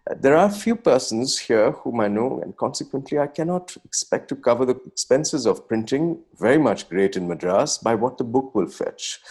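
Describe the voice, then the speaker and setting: A man's voice: medium pitch, darkish tone, husky. A man playing an exuberant young nineteenth-century Bengali poet, reading his letter in a florid, earnest, old-fashioned manner. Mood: pleading.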